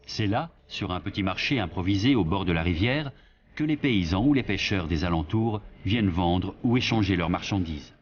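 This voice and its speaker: A man giving scripted documentary narration in a measured, even delivery. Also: low-pitched, lo-fi sound, slight background noise.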